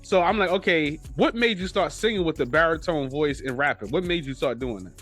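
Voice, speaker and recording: A man's voice: a little high, expressive, brisk, a bit bright, soft background music. A man with a New York accent hosting a podcast, asking long, rambling questions and eagerly affirming his guest. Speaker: podcast host and producer. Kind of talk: casual interview talk.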